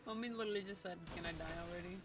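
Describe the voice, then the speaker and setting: A woman's voice: medium pitch, fairly even intonation, racing, music in the background. A woman reacting to a video game as a streamer, with casual, slangy, flippant asides. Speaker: gaming streamer.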